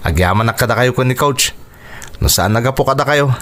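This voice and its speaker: A man's voice: medium pitch, lively intonation, brisk, a bit bright, close to an everyday voice. A man playing a determined, grateful young boxer in a radio drama, speaking earnestly and expressively. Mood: grateful, relieved.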